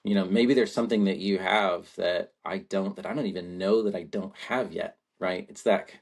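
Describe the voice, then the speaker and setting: A man's voice: sits low, steady tone, moderate pace, gravelly. A man in his forties, a podcast guest who grew up in Latvia, talking in a thoughtful, rambling way and often checking in with a tag question. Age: 40s. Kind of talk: reflective conversation.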